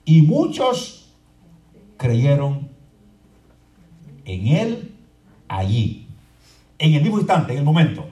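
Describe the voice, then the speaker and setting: A man preaching a fervent sermon as pastor, moving between quiet explanation and shouted proclamation and often asking his congregation to agree. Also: on the high side, wildly swooping pitch, takes it slowly, long pauses, lo-fi sound. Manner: often asks the congregation "¿verdad?". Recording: echoey room from far off with faint crowd noise.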